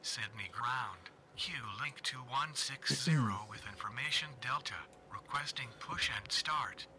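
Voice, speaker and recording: A man voicing a brisk airline pilot reading back instructions over aircraft radio in a simulator game. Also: mid-range voice, pushed and bright. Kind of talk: clipped radio readback. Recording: harsh, faintly noisy radio audio.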